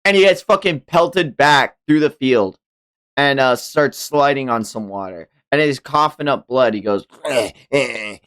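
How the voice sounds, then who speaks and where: A man's voice: mid-range voice, unhurried, a bit bright, smooth. A man streaming a comic read-along, narrating dramatically and reacting in casual, profane language.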